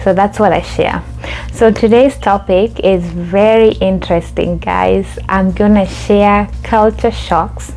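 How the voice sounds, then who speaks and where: Young kenyan woman vlogging, lots of um and like, soft music in the back. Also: medium pitch, steady tone, slow, poor audio. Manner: chatty, many fillers and restarts. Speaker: YouTube host. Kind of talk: casual storytelling vlog.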